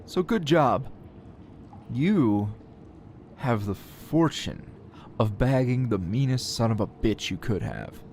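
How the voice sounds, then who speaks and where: A man plays a captured male hero in an audio roleplay, sardonic and defiant to the last. He taunts his kidnapper at a slow, lazy pace, in a mid-pitched voice with sighs and dry chuckles. A faint noisy ambience sits behind him. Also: very animated, darkish tone. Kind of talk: ASMR roleplay voice acting.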